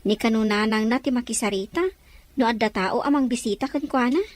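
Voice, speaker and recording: A woman's voice: a little high, brisk, dry recording, lightly acted. A woman playing a sympathetic, gossiping, mildly scolding neighbour in a domestic melodrama. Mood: gently scolding.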